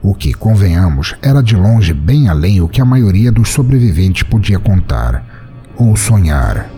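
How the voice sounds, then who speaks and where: A Brazilian man hosting a podcast and narrating scripted fiction in an expressive, theatrical way. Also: low voice, a little slow, husky, soft background music. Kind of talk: dramatic scripted short-story narration.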